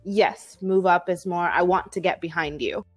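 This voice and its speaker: A woman's voice: fairly low pitch, fairly even intonation, normal speed, soft background music. A woman with an American accent, an English tutor explaining word choices in casual conversation.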